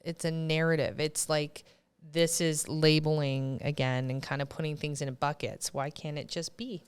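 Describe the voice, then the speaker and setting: Podcast co-host, woman, thirties, smooth low-ish voice, emphatic and quick to push back. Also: fairly even intonation. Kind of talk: casual chat.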